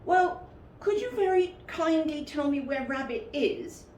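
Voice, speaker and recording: A woman's voice: sits high, expressive, a little slow, darkish tone, voice pushed up. A woman voicing a friendly, naive storybook bear who loves food and humming, with an earnest, sing-song manner. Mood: puzzled, earnest. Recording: roomy, distant mic with faint noise.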